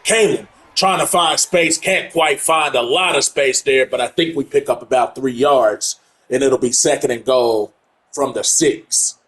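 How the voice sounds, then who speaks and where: A man's voice: medium pitch, a little slow. A man doing casual play-by-play of his own football video game, his voice congested from allergies. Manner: casual play-by-play, gets loud and excited on big plays. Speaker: gamer playing through a football game. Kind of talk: play-by-play commentary on own gameplay.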